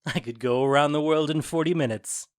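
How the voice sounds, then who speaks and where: A man's voice: on the high side, brisk, no echo, clean studio sound, natural voice. A man playing an eager, boastful male fairy servant in an audio drama.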